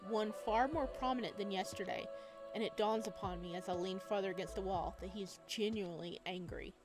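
A woman reading a visual novel aloud at home, with quick joking asides between lines of narration. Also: medium pitch, fairly even intonation, music in the background. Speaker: game streamer.

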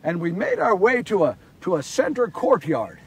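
Guy acting as a guilt-ridden bible disciple, earnest stage monologue, raspy high voice, mic kinda far off. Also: wildly swooping pitch, moderate pace, heavily acted. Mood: earnest, reflective. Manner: earnest, confessional, theatrical, swinging from hushed to shouting. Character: Simon Peter, big remorseful fisherman disciple, anguished and earnest. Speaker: solo storyteller actor. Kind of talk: first-person dramatic monologue of a Bible story. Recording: distant microphone.

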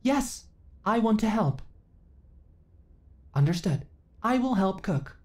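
A woman's voice: mid-range voice, lively intonation, slow, darkish tone. A woman playing an earnest, naive companion android in a sci-fi ASMR roleplay, speaking in stilted, formal, literal phrases. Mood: earnest, eager.

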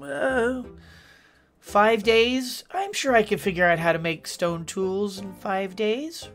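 A man's voice: high-pitched, takes it slowly, a bit bright, soft background music. A man in his forties giving chatty, joking let's-play commentary from a home gaming room. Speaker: gaming streamer.

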